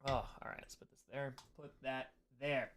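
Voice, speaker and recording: A man's voice: medium pitch, fairly even intonation, normal speed, a bit bright. A man hosting a casual livestream Q&A, joking and repeating short phrases as he goes. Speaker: streamer hosting a live Q&A.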